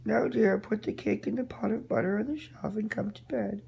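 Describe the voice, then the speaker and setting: A man's voice: high-pitched, fairly even intonation, moderate pace, creaky, darkish tone, slightly rough, pitched above the performer's own voice, exaggerated voice. A man voicing a sly, hungry big male wolf in a storybook, speaking in a crafty, coaxing way. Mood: falsetto disguise.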